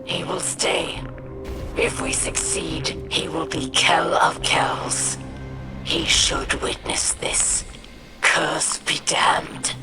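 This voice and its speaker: Grim proud alien warrior lady, slow cold delivery, loud game music behind. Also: sits low, steady tone, in a whisper, full character voice. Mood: cold resolve. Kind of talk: dramatic video game acting. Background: loud dramatic music.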